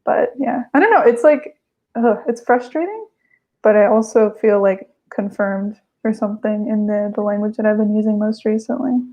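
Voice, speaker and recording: A woman's voice: mid-range voice, normal speed, a little mellow, rough recording. A young American woman on a video call, chatting casually with lots of fillers and restarts. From United States. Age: young adult. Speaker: guest, language learner. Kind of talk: casual conversation.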